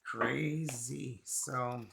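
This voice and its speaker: A man from Canada with a raspy, hoarse voice, still getting over being sick. He talks his way through a tarot reading in a loose, musing manner, full of fillers. His mid-pitched voice has a gravelly fry to it. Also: steady tone, drawn-out words, background noise. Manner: chatty, musing, many fillers. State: hoarse, recovering from illness. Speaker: tarot reader. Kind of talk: casual tarot card reading.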